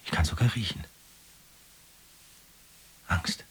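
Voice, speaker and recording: An actor in a radio drama playing a troubled, weary young man, with a husky, breathy voice and a halting, confiding manner. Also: a little hiss or hum, lightly acted, poor audio. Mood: anxious, confiding. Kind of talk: radio drama acting, intimate dialogue.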